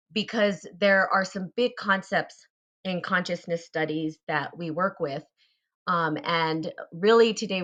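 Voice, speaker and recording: A woman's voice: fairly low pitch, bright, forceful tone. A woman hosting a casual live online show, introducing a guest in an upbeat, enthusiastic way.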